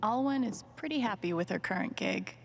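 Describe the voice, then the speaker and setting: A woman's voice: mid-range voice, expressive, fairly quick, slight background noise, natural voice. An actress playing a friendly, wry young woman in a naturalistic story video game. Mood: wry, warm.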